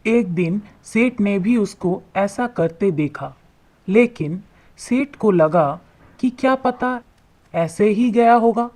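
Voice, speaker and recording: A woman narrating a scripted animated moral tale in measured, deliberate phrases. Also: medium pitch. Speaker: story narrator.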